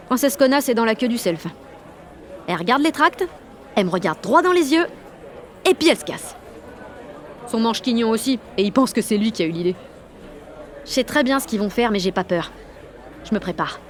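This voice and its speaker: A woman's voice: on the high side, natural voice. A woman playing a cocky, exalted sixteen-year-old girl activist, narrating an audio drama in brash, confiding slang. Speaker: lead actor and narrator.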